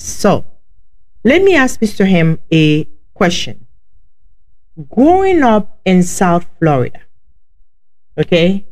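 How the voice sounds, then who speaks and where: A woman's voice: fairly low pitch, a little mellow, low-quality recording. Woman with a heavy Haitian accent. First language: Haitian Creole. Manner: chatty, addresses listeners directly. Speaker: podcast host. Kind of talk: casual podcast chat.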